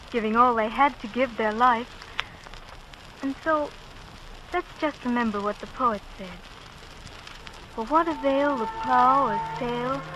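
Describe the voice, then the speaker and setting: An actress playing a devoted, resolute wartime sweetheart in an earnest, theatrical 1940s radio monologue, on an old recording with music behind. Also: female voice, fairly high pitch, noisy background, natural voice.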